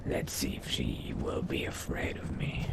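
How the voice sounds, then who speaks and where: A man voicing a wary, hungry undead vampire in a game roleplay, muttering to himself in a breathy, gravelly whisper. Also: very noisy, close to an everyday voice. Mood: hungry, curious. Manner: slow, hushed, muttering to himself. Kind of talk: in-character video game roleplay narration.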